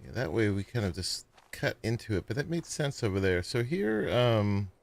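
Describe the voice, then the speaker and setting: A man casually chatting to viewers as he plays and builds in a survival game, with a sleepy voice and a relaxed, rambling manner. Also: lowish voice, normal speed, gravelly. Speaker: gaming streamer. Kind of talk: casual gameplay commentary.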